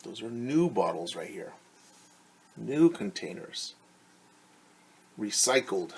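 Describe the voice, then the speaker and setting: A man's voice: lowish voice, big pitch swings, takes it slowly, vocal fry, slight background noise. A middle-aged man reading a picture book aloud as a teacher, stopping to explain it simply and repeating words for young children. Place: small room at home, indoors.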